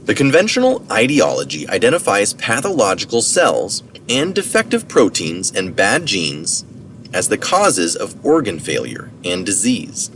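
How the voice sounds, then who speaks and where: A man with a fairly low voice reads an article aloud, his intonation moving up and down a lot. He takes care over technical words and keeps an informal touch. He sounds some way from the microphone. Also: a bit bright. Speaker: reader of articles. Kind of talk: reading an article aloud with brief asides. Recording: distant mic with faint background noise.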